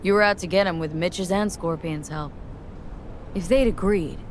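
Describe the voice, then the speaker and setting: An actress playing a tough, weary mercenary who speaks in a terse, dry, streetwise way. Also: female voice, on the low side, normal speed, slight background noise, lightly acted. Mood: wry. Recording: game audio with music behind. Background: music.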